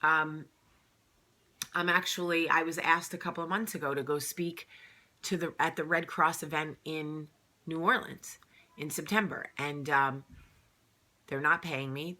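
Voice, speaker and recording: A woman's voice: deep voice, steady tone, a little slow, bright, forceful tone. A woman hosting her own vlog, talking straight to the camera in a casual, earnest way, stressing her points and often saying "um". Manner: earnest, emphatic, repeats phrases, many "um"s. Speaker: vlog host. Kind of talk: casual direct-to-camera talk.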